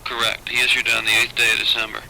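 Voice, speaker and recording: A man working as a booking agent, talking business haltingly on a noisy old taped phone call. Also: medium pitch, completely flat delivery, vocal fry, bright, forceful tone. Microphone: telephone.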